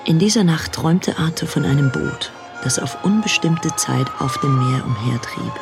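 A man as the storyteller of a radio drama, giving sober, measured scripted narration. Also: a little high, expressive, vocal fry. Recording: music under the narration.

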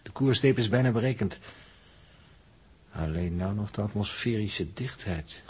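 Husky dutch pedantic careful spaceman, old radio play, formal wording, pitch swings a lot. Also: lively intonation, exaggerated voice. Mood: cautious. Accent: Netherlands Dutch. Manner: formal, deliberate wording, pondering. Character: analytical, cautious, pedantic male spaceship crewman. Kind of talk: 1960s Dutch radio drama acting. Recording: old 1960s radio drama recording.

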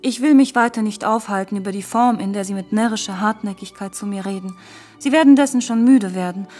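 A woman's voice: mid-range voice, brisk, a little mellow, faint music behind, natural voice. An actress playing a cool, worldly lady who teases haughtily and drops French phrases. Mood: cool, mocking.

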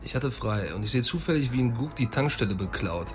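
Actor as a swaggering tough gang enforcer. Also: male voice, medium pitch, expressive, brisk, faint music behind, natural voice. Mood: grimly defiant. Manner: coarse, swaggering. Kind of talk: radio drama dialogue.

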